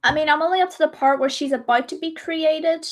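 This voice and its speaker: A woman's voice: high-pitched, a little fast. A young woman chatting casually while drawing on a livestream, rambling and correcting herself, her voice a little strained. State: voice gone high and strained.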